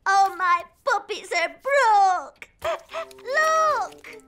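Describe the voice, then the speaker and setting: A woman voicing an excitable, bossy little girl princess in a preschool cartoon, with childlike, emphatic delivery. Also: very high-pitched, drawn-out words, full character voice. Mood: upset. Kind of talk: preschool cartoon acting, theatrical announcing.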